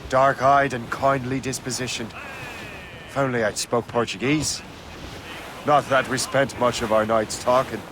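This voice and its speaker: A man's voice: mid-range voice, steady tone, a little slow, natural voice. Breezy young Irish rogue, sea behind male actor. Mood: teasing, curious. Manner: breezy, teasing banter. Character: cheeky, roguish young Irish sea captain. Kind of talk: video game cutscene acting. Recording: clear sea and ship ambience behind. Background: waves, wind and ship sounds.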